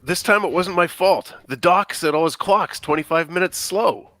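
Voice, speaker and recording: An anxious, defensive teenage boy rocker, acted by a man at a table read. The voice sits fairly high, with a bright, forceful edge. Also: moderate pace.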